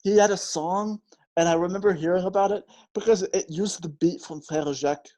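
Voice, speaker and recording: Young dude on a movie podcast, kinda high-ish voice, jokey and teasing, lots of uhs. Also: male voice, brisk, darkish tone. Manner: joking, teasing, with hesitant fillers. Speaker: podcast co-host. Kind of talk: casual film podcast chat.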